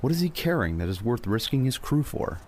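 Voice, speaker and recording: A man's voice: medium pitch, big pitch swings, fairly quick, darkish tone, a little hiss or hum, voice pushed up, natural voice. A man voicing a commanding privateer captain giving dramatic orders in an audio story. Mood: puzzled.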